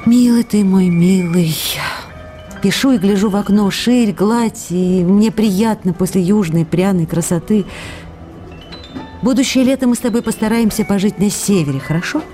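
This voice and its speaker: A woman's voice: mid-range voice, moderate pace, dark tone, soft background music, lightly acted. An actress in a radio drama reading the tender, playful love letters of a young woman actress, in an intimate, questioning way.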